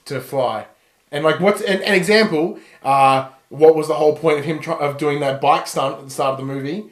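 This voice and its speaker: A man with an Australian accent talks in a roomy, echoey space, his voice a little high. He hosts a casual podcast film discussion, explaining his points in stop-start phrases. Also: moderate pace. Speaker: podcast host.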